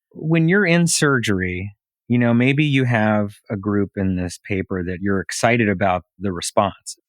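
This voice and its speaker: A man's voice: lowish voice, no echo. A middle-aged American man hosting a medical interview conversationally, with rambling, layered questions. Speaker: podcast interviewer, physician.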